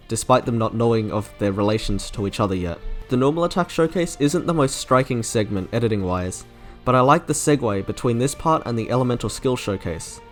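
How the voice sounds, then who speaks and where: A young man you would hear narrating a gaming ranking video, medium-pitched, with faint music underneath. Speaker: video essayist reviewing game trailers. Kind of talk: scripted narration, opinion commentary. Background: soft game music.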